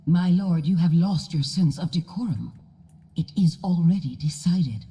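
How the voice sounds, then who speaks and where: An actress playing a composed, courtly elf noblewoman in a fantasy video game, speaking in a formal, measured way. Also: fairly low pitch, dark tone, breathy edge, heavily acted. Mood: cool, resolute.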